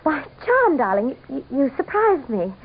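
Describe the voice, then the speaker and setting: An actress playing a proud, scornful governor's wife in a radio drama, with a haughty, melodramatic manner. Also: female voice, sits high, big pitch swings, a little slow, phone-quality sound, a little hiss or hum, full character voice. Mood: concerned. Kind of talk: 1970s-style radio drama acting. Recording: thin, band-limited old radio broadcast.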